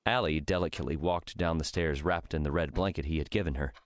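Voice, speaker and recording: A man narrating a scripted fiction audiobook in a measured storytelling manner. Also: a bass voice.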